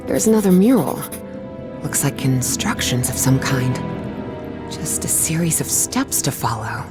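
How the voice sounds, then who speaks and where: An eager young woman explorer in a video game. Her voice is very animated and full of curiosity, with music playing underneath. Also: rumbling low, a little slow, heavily acted.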